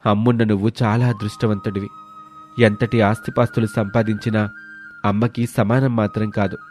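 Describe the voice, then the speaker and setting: A man playing a kind, helpful village fruit seller in a moral story, speaking in an earnest, measured way. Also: lowish voice, flat delivery, a little mellow, soft background music, close to an everyday voice. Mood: earnest admonishing. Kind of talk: dramatised moral story acting.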